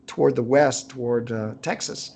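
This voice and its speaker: A middle-aged man speaks with a gravelly, fry-laden voice in a casual interview. He is an easygoing storyteller who often halts and searches for his words. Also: medium pitch, fairly even intonation, normal speed, a little mellow. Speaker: author being interviewed.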